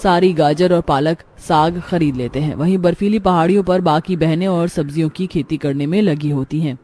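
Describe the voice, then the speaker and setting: A woman's voice: deep voice, moderate pace, dark tone, slight background noise. A woman narrating a scripted moral story as its storyteller.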